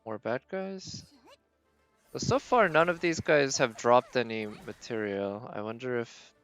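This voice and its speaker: A game streamer with an androgynous voice talks through play in an unhurried, casual way. The delivery is tentative and musing, like someone thinking aloud, with music faintly behind it. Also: mid-range voice, a bit bright. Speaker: game livestream host. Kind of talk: casual live gameplay commentary.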